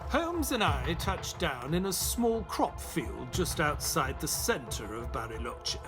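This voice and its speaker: A man's voice: a little high, very animated, slow pace, loud music behind the voice. An actor playing an earnest, weary male companion to a detective, questioning and reflective, in an audio play. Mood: calm storytelling. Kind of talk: audio play acting and narration.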